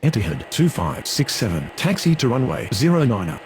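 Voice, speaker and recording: A man's voice: medium pitch, very animated, fairly quick, a little mellow, slight background noise. A man voicing a businesslike, formal pilot and controller in a game, using clipped radio phraseology.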